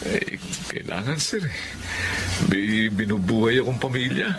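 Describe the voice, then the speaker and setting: An actor playing a humble, anxious family driver in a radio drama, hesitant and deferential, with a Filipino accent. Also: male voice, lowish voice, animated, unhurried. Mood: meek, friendly. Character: humble, anxious, hardworking male family driver, meek to superiors.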